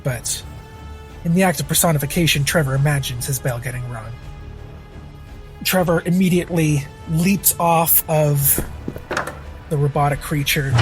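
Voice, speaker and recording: A man playing a tabletop roleplaying game, casually narrating his character's actions as he thinks aloud. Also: fairly high pitch.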